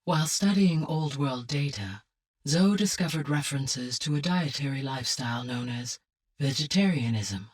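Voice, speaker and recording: A benevolent artificial intelligence from a video game. Her female voice is slightly breathy and sounds a little removed from the mic. She explains things calmly, in formal, exact, measured sentences. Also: rumbling low, far from the mic, heavily acted. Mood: composed, explanatory. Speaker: game character, advisor. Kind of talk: scripted video game dialogue.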